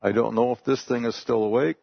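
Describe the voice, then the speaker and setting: A man's voice: mid-range voice, fairly even intonation, brisk, creaky, breathy edge, no room sound. A man whose first language is English, a missionary telling a congregation about his work in a folksy, conversational storytelling way. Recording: dull lo-fi recording.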